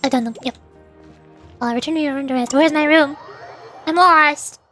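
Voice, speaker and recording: A woman's voice: high voice, normal speed, sharp tone, faint music behind. A woman streaming a game, chatting casually in a playful, teasing manner. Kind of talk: casual game livestream chat.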